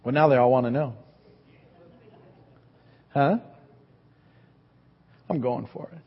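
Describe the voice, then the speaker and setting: A man's voice: mid-range voice, slow. A man in his fifties preaching a sermon, telling a story about himself with self-deprecating humor. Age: 50s. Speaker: pastor. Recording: dull sound in a reverberant hall.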